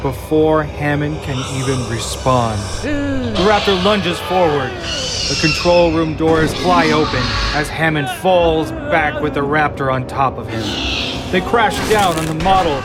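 A man reading scripted narration for an audio drama in a measured, often slow and hushed way. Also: fairly high pitch, expressive. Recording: clear music underneath.

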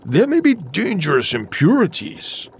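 Anxious, slow-talking creaky scientist. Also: male voice, a little high, huge pitch swings, exaggerated voice. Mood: uneasy, pleading. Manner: slow, careful, halting. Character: anxious, hesitant male scientist doctor. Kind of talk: campy audio drama acting.

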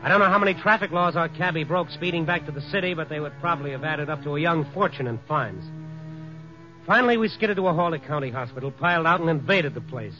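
An actor playing a steady, wry, hard-boiled newspaperman who narrates a 1950s radio noir drama. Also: on the high side, quick pace, lightly acted. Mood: wry, reflective. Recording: dull vintage radio recording, music behind.

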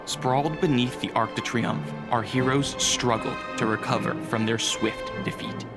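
A man's voice: mid-range voice, fairly even intonation, moderate pace, over loud music, natural voice. A man playing a grave, suspenseful narrator in a scripted radio drama, precise and solemn.

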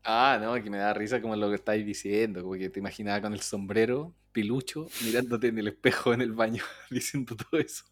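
A man's voice: fairly low pitch, fairly even intonation, fast, hardly any pauses, no room sound. A man with a Chilean accent chatting casually as a podcast co-host, telling anecdotes in informal slang and acting out bits of dialogue.

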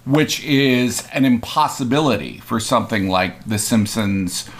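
Man, podcast co-host, casual rambling chat, lots of fillers, punny, mid-pitched voice. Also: expressive, unhurried. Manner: rambling, full of fillers and puns.

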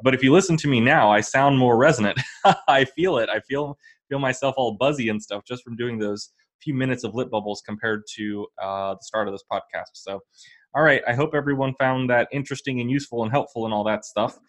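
A man hosting a podcast, explaining singing exercises in a relaxed, easygoing way. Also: mid-range voice, fairly quick. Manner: relaxed, thinks aloud with fillers. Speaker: podcast host, vocal coach. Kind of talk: casual teaching chat.

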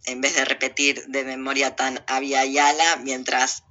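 Woman, Buenos Aires accent, very bright cutting voice, emphatic and sardonic interview guest, slightly dull lo-fi audio. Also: rumbling low, level tone, normal speed. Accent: Buenos Aires Argentine Spanish. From Argentina. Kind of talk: opinionated political interview talk.

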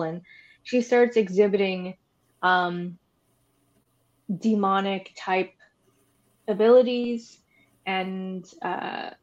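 A woman's voice: fairly low pitch, darkish tone. Chatty woman on dull lo-fi audio. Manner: chatty, informal, wry opinionated asides. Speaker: podcast co-host. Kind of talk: casual chat about horror films.

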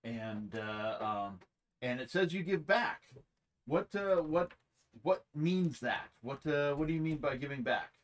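A man hosting a podcast, asking easygoing, halting questions and laughing often. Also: fairly high pitch, expressive. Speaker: podcast host. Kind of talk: casual interview chat.

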